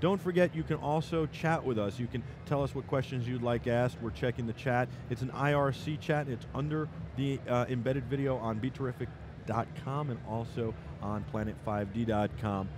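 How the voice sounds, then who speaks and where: A man's voice: medium pitch, moderate pace. Guy hosting a live tech stream, upbeat plug-everything energy, mid voice, busy noisy floor behind. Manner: upbeat and promotional. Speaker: show host. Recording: noisy trade show floor.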